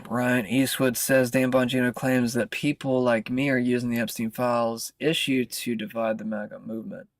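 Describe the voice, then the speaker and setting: Creaky American man commenting on the news. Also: mid-range voice, level tone, no room sound. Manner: informal, halting, often interrupts himself with asides. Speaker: streamer and commentator. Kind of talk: casual livestream news commentary, reading articles aloud.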